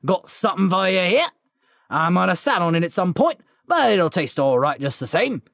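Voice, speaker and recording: A woman voicing a huge, shaggy male giant who is a groundskeeper, speaking in a loud, booming, rustic way. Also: very animated, moderate pace, creaky, no echo, clean studio sound, full character voice. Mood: friendly.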